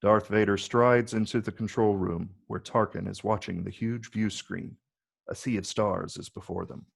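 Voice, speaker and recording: A man reading stage directions as scripted narration in a measured, descriptive way. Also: on the low side, fairly even intonation, creaky, slightly rough.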